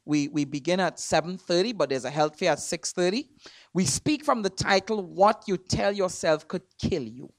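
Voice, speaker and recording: A man from Trinidad with a Trinidadian accent, preaching in a church. He stresses words hard, repeats himself and swings between loud and soft. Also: sits high, animated, normal speed, no room sound. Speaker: guest preacher. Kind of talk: sermon. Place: church sanctuary.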